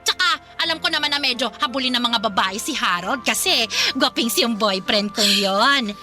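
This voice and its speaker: A stubborn mother blinded by love in a radio drama. The voice is high and extremely bright, dramatic and defensive, with faint music behind it. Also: expressive, normal speed. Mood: defensive, smitten. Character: stubborn, lovestruck middle-aged mother. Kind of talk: Filipino radio drama acting.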